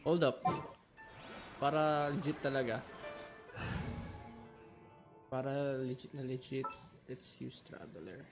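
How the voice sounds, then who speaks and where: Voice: mid-range voice, fairly even intonation, takes it slowly, pauses often. A gamer with a Filipino accent giving casual, off-the-cuff gameplay commentary. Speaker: gaming video player. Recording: loud game music behind the voice. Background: game music.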